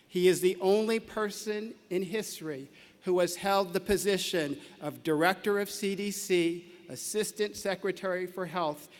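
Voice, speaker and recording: A man with a high, light voice reads a prepared introduction at a podium microphone, stumbling once or twice over his script. The large hall gives his voice a long, ringing tail. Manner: reads a prepared introduction, occasional small slips. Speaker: dean introducing keynote speaker. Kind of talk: formal ceremonial introduction. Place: large indoor ceremony hall.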